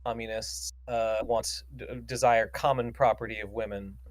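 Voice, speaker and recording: A man's voice: on the low side, steady tone, normal speed, no echo. A man guesting on a casual podcast, sarcastic and profane with a mock-indignant edge.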